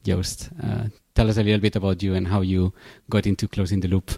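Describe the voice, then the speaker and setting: A man with a low, mellow voice hosts a podcast, talking in an unhurried way full of small pauses and fillers. The room is echoey, with faint music underneath. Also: level tone. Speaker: podcast host. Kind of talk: podcast interview.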